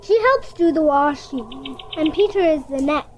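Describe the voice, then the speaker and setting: A very high, girlish voice acted by a young female performer plays a sweet, earnest child in a family radio play. She speaks slowly and imploringly, in quaint old-fashioned phrases. There is faint noise in the recording. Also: expressive, poor audio. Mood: earnest, bright. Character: sweet, earnest, eager young girl named Carol, frail and generous. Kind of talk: family audio drama acting.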